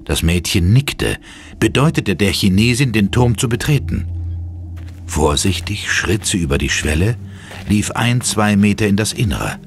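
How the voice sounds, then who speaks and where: A man narrating a horror audio drama in a deliberate, ominous and dramatic manner. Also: lowish voice, lively intonation, slight background noise. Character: grave narrator of a horror tale. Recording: loud music bed under voice. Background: loud dramatic music.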